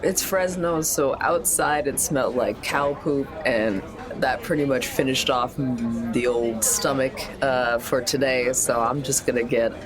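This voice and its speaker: A man's voice: mid-range voice, steady tone, unhurried, over music. A man playing a tabletop game, chatting and joking casually with the group.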